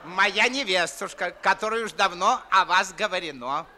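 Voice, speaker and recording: An actor playing a fussy, fawning elderly Moscow gentleman, bustling and repeating himself in classic 1950s stage style. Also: high voice, moderate pace, slight background noise. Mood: fawning, eager.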